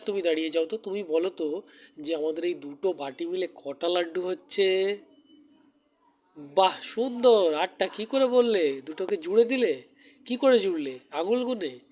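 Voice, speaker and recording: A man with a somewhat high voice gives a primary school lesson. He talks directly to the children, puts simple questions to them and repeats key words as he explains. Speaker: maths teacher giving a demo class.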